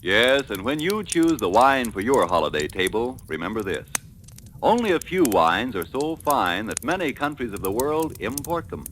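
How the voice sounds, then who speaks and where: A man's voice: mid-range voice, very animated, normal speed, fairly bright tone. A man with an American accent reading a scripted 1940s radio commercial as announcer. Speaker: advertising announcer. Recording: faintly crackly vintage radio recording.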